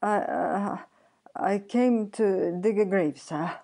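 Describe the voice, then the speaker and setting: A woman's voice: fairly low pitch, takes it slowly, mellow. A woman voicing a frightened, grovelling male gravedigger in a storybook reading, stammering and hesitant with nervous deference. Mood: trembling fear.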